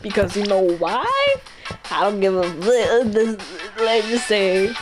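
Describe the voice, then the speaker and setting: Female game streamer, lively swinging pitch, blunt and chatty, swears freely, game music underneath. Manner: blunt, playful, rambling. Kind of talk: casual gameplay commentary with swearing.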